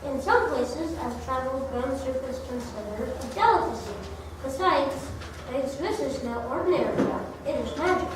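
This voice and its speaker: Woman playing a high-voiced wily traveler, very echoey. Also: expressive, moderate pace. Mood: boastful, coaxing. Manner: reading from a script with stumbles. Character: wily hungry traveler Finnegan, polite trickster. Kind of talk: amateur reader's theater. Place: large echoey room, recorded from afar. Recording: echoey room, distant mic, background noise.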